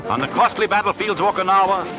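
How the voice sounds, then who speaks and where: High-pitched, dramatic 1940s American newsreel man. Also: fast, loud music behind the voice, low-quality recording. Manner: dramatic, declamatory, solemn announcer delivery. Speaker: newsreel narrator. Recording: vintage 1940s film soundtrack.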